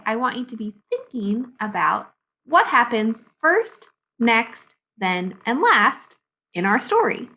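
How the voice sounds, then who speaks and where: A woman teacher reading a storybook aloud to second graders in an expressive, deliberate way, with dramatic pauses. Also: on the high side, creaky. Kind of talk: storybook read-aloud for young children.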